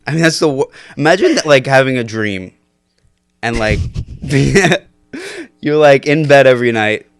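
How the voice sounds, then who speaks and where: A man's voice: medium pitch, expressive, normal speed, dry recording, studio quality. A young man in his twenties telling stories on a casual podcast, loose and laughing with lots of fillers. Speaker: podcast guest. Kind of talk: casual storytelling chat.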